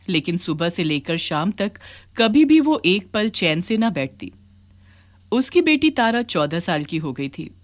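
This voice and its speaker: A woman storyteller reading scripted narration for a children's moral tale. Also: fairly low pitch.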